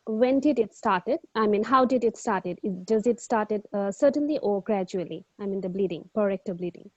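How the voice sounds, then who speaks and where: A woman's voice: medium pitch, normal speed, darkish tone, no echo, highs cut off. A woman acting as a doctor in a mock exam, taking a clinical history politely and hesitantly, with many fillers. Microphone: video call.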